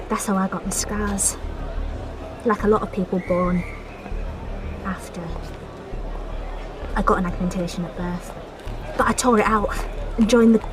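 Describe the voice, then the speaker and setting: A woman's voice: medium pitch, natural voice, rough recording. A woman playing a wry, scarred resistance fighter. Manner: easygoing, wry, quick to laugh. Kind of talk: audio drama acting.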